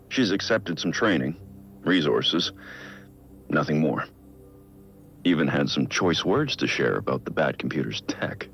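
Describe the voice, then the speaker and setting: Brooding middle-aged American crimefighter for game audio logs; introspective, gravelly delivery, slightly muffled sound over soft music. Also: male voice, heavily acted. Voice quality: gravelly, low. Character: brooding middle-aged male masked vigilante dictating case logs. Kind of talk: scripted audio-log monologue.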